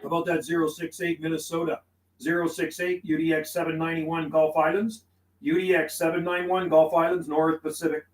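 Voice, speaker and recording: A man's voice: on the high side, level tone, fairly quick, poor audio. A man working as a CB radio operator on a livestream, mixing radio calls with laid-back, friendly, slangy chat. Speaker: CB radio operator and live streamer.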